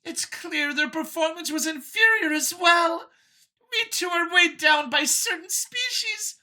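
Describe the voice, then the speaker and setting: A fanatical alien scientist, female, extremely high-pitched, whiny, nervous, over-eager, sharp, science fiction audiobook character. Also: a little slow, heavily acted. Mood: fervent, self-righteous. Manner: gushing, over-eager justifying.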